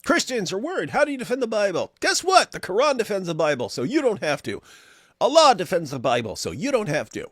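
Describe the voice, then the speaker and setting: A man's voice: high voice, wildly swooping pitch, fast talker, fairly bright tone, no echo, clean studio sound. A man co-hosting a casual talk show, joking and repeating his lines for effect. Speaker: co-host. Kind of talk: casual reaction chat.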